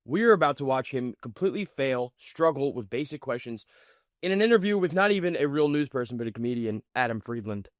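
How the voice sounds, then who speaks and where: A man's voice: mid-range voice, animated, a little fast, no echo. Indignant male reaction commentator, dry sound. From United States. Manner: indignant, mocking. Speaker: commentary host.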